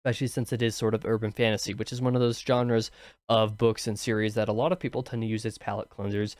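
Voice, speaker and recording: A man's voice: fairly low pitch, flat delivery, quick pace, darkish tone, no echo. Tired-voiced man reviewing a book. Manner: rambling, many fillers and restarts. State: tired, slightly scratchy voice. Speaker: book review channel host. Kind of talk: casual spoken book review.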